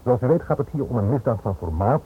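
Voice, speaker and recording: An actor playing a guarded Dutch police inspector in a radio play, formal, measured and quietly insistent, on an old recording. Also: male voice, medium pitch, lively intonation, close to an everyday voice. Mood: insistent warning. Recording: muffled phone-like old radio recording, noisy.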